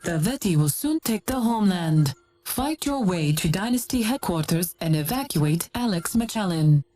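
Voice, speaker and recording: A woman playing a crisp, official military announcer giving a game mission briefing. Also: fairly low pitch, lively intonation, normal speed, close to an everyday voice. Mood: businesslike. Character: businesslike female military briefing and alert announcer. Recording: loud music bed.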